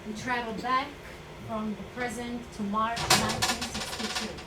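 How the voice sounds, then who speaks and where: A woman's voice: a little high, steady tone, moderate pace, close to an everyday voice. A woman narrator reading out stage directions as scripted narration at a staged play reading. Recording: roomy, distant mic, very noisy.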